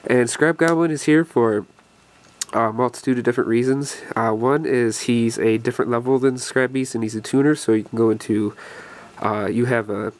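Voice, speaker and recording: A young man with a cold, sounding congested and short of breath, rambling through a card deck walkthrough with many ums and restarts. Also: mid-range voice. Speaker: deck profile video host. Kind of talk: casual game deck walkthrough.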